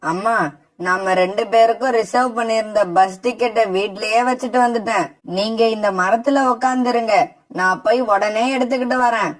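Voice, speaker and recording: A woman voicing a scheming, coaxing young male crow in an animated bird fable. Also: fairly high pitch, moderate pace, lightly acted. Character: scheming, smooth-talking young male crow, a deceitful son.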